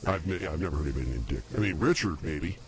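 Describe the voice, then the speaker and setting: A man co-hosting a comedy podcast with improvised banter, speaking haltingly and repeating himself. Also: very deep voice, animated, soft background music.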